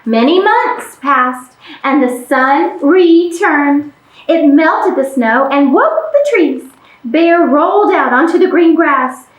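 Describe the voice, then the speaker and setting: A woman reading a picture book aloud to children as a storytime host, with expressive, deliberate delivery. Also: sits high. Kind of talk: animated children's read-aloud. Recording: echoey room.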